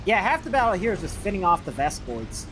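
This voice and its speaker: A man's voice: high voice, very animated, quick pace, noisy background. A young man with a youthful-sounding voice, chatting casually and rambling as he livestreams a video game, informal and free with swearing. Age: young adult. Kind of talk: casual gaming commentary and chat.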